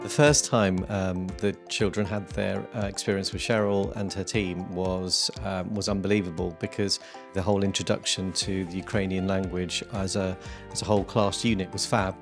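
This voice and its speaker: Teacher, man, 40s, earnest interview voice, low-pitched, music underneath. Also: little pitch movement, moderate pace. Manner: earnest, with many fillers. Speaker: school teacher. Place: classroom. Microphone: handheld mic. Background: soft guitar music.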